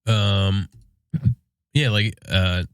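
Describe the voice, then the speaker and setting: Low-pitched congested American man. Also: flat delivery, very slow, clear, resonant tone, dry recording, clean studio sound. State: congested with a sinus infection. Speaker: podcast co-host. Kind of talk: casual shop-talk podcast chat.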